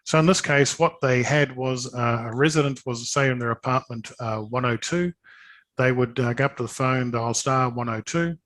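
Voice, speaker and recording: A man giving an informal sales presentation of products, speaking hesitantly and haltingly, often repeating words. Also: mid-range voice, a bit bright.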